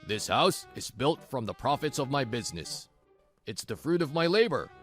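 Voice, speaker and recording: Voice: medium pitch, sing-song, normal speed, lightly acted. A performer playing a stingy, pompous older father-in-law, a businessman, in a manga drama dub. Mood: pompous, contemptuous. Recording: music behind the voice.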